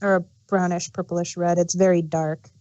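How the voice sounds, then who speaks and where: A woman's voice: lowish voice, little pitch movement, normal speed, darkish tone, no echo, lo-fi sound. Woman chatting casually in a dry-sounding space. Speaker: podcast host. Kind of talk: casual chat.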